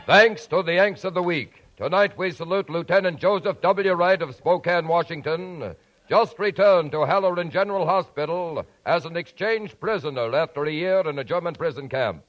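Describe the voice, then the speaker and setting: A man reading a formal, measured tribute announcement on the radio. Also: on the high side, faint music behind. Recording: muffled old radio recording.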